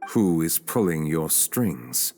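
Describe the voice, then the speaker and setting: A deep-voiced, grave chief justice from a video game. He is formal, courteous and reserved, weighing his phrases with restraint over background music. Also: very animated, mellow, heavily acted. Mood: stern, probing. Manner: formal, measured, restrained questioning.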